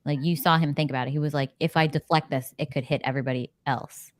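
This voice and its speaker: A woman's voice: low-pitched, fairly even intonation, quick pace, no echo. A young adult woman talking informally in a book discussion over a video call from home. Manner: informal, conversational.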